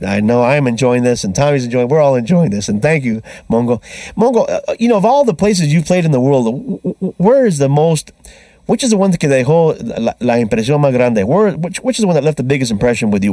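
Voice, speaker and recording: A man's voice: medium pitch, mellow, no room sound. A man hosting a radio interview from a studio, switching between English and Spanish with frequent fillers. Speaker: radio show host.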